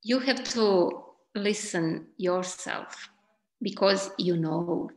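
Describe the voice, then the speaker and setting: Soft-spoken woman. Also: medium pitch. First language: Croatian. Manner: halting non-native English, gentle and encouraging. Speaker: class organizer and translator. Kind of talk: video call Q&A. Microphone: video call. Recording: roomy video call audio.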